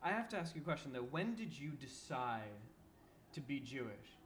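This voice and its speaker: A man's voice: mid-range voice, big pitch swings, moderate pace, a bit bright. A man hosting a podcast, asking questions and giving brief responses in a casual conversation. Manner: brief, asks questions, backchannels. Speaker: podcast host. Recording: echoey room with noticeable noise.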